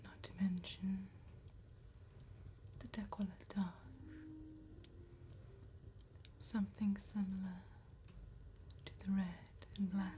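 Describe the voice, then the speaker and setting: A woman playing an elegant, courteous vampire tattoo artist in a soft-spoken ASMR roleplay, with breathy, genteel, deliberate phrasing. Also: medium pitch, level tone, slight background noise, lightly acted. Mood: courteous, flattering. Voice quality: breathy, whispered.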